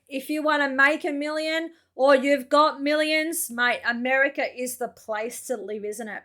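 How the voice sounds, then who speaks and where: A young woman with a broad Australian accent reacts to a video in relaxed, chatty commentary. Her voice sits high and sounds bright and clear. She laughs easily and keeps tossing in "mate".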